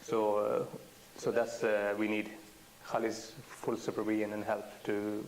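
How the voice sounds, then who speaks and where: Low, creaky-voiced man chairing a meeting. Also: monotone, unhurried. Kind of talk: informal meeting address. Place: indoor meeting. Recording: hissy, slightly noisy meeting recording.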